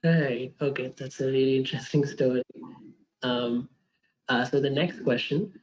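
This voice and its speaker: A man's voice: mid-range voice, fairly even intonation, moderate pace, dull audio. A man hosting a question-and-answer session, politely and formally reading out prepared questions.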